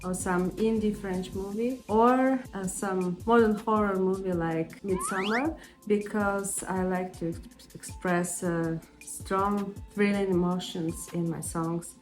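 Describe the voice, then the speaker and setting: A woman from Russia, a native Russian speaker with a Russian accent, answering interview questions as an independent musician in a thoughtful way, pausing on "uh" and restarting words. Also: mid-range voice, a little mellow.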